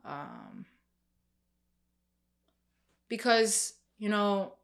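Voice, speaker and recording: A young woman gives a sermon in a loose, conversational style. She often breaks off, restarts and fills pauses as she works through her thoughts. Her pitch sits in an ordinary middle range. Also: animated, gravelly. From Korean family background. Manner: conversational, many fillers and restarts. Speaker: preacher.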